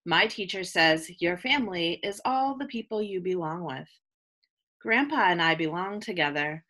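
A woman reading a children's picture book aloud like a teacher, with expressive delivery aimed at young listeners. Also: on the low side, normal speed. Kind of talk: children's read-aloud, scripted narration.

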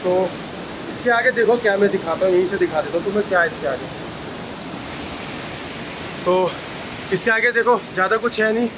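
Tired young man vlogging beside roaring water. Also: high-pitched. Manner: chatty, addresses viewers as guys and bhai. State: tired, out of breath from climbing. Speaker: travel vlogger. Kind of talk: casual selfie vlog narration. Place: wet rocky cave and forest trail outdoors, by a waterfall. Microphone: handheld phone camera at arm's length. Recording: loud rushing water noise behind. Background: waterfall and stream.

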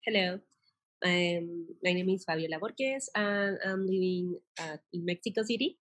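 A woman in her thirties from Chile, introducing herself in a soft, unhurried way over a video call. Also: on the low side. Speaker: head of product. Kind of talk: self-introduction.

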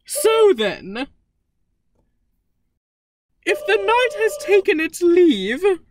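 A woman voicing a flamboyant, smug robot queen in a video game fan dub, with deliberate, theatrical delivery. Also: extremely high pitch, dry recording, heavily acted. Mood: smug, playful. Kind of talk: fan-dubbed video game villain monologue. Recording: background music under the voice.

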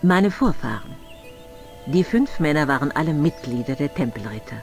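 A man playing a proud, stern elderly aristocrat in an adventure game, speaking in a formal, haughty, measured way. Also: fairly high pitch, animated, faint music behind. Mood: guarded, haughty. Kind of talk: adventure game voice acting, German dub.

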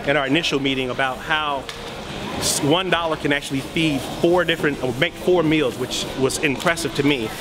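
A man volunteering in a warehouse, talking casually and with enthusiasm. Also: a little high. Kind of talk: casual interview.

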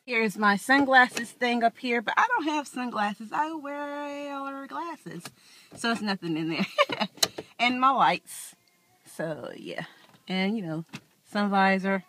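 A woman's voice: fairly high pitch, animated, takes it slowly, a bit bright, slight background noise. A woman in her thirties chatting casually to viewers while filming a tour of her car on a handheld phone, laughing often. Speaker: vlogger. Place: outdoors and inside a parked car. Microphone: handheld phone camera.

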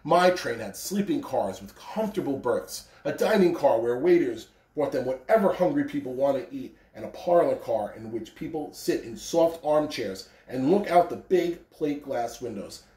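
Snooty storybook locomotive, acted by a man: pompous, drawn-out, dark-toned, roomy sound. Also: medium pitch, lively intonation, pitched below the performer's own voice, close to an everyday voice. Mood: haughty. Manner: haughty, snorting, drawn-out. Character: snooty, self-important shiny new passenger engine. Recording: roomy, distant phone camera recording.

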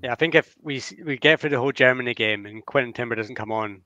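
A young man wearing a headset on a video call, arguing his football points in a lively, reasoned way. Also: mid-range voice, quick pace, no echo, highs cut off. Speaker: co-host.

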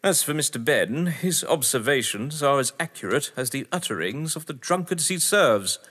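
An actor playing a haughty, well-to-do English gentleman in an audio drama, florid and evasive, with an English accent. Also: medium pitch, expressive, normal speed. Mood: dismissive, wary. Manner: pompous, florid, theatrical. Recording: reverberant hall with music behind.

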